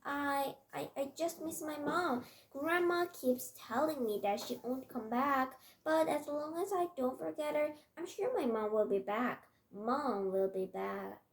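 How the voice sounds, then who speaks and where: A woman voicing a timid, tearful, tender-hearted schoolgirl in a read-aloud story, pleading haltingly. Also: sits high, animated, voice pushed up. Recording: roomy echo.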